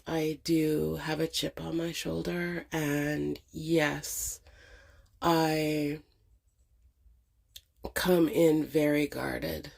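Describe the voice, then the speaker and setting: Slow, husky woman with a head cold. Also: sits low, a little mellow. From Canada. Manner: slow, hesitant, many ums and pauses. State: congested with a cold. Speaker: channel host. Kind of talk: casual reflective monologue.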